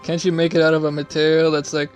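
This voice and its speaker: A man's voice: on the high side, monotone, fast talker, soft background music. A young man giving casual gameplay commentary in a slangy, offhand way with some swearing. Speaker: gamer playing on camera or stream.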